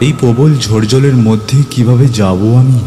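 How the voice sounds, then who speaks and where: A man playing an earnest, caring village doctor who narrates his own ghost story in a dramatic, expressive way. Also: mid-range voice, normal speed, noisy background, lightly acted. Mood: suspenseful narration. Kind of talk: dramatic first-person horror story narration. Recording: loud music behind the voice. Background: music.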